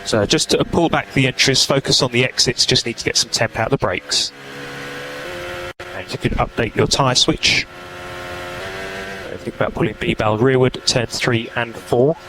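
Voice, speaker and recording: A man's voice: mid-range voice, measured pace. A man working as a race engineer, giving terse, matter-of-fact technical instructions and numbers over team radio. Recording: noisy team radio, engine and car noise.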